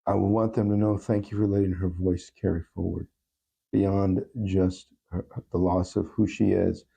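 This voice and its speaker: A man speaking as a podcast guest in a reflective interview, gentle, reassuring and deliberate. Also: sits low, level tone, vocal fry, a little hiss or hum.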